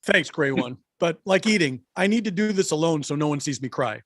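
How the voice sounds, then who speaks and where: Man as a high-voiced, self-pitying cartoon cat. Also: animated, a little fast, slight background noise, close to an everyday voice. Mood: resigned. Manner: melodramatic, self-deprecating. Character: insecure, self-pitying male pet cat. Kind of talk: cartoon table read.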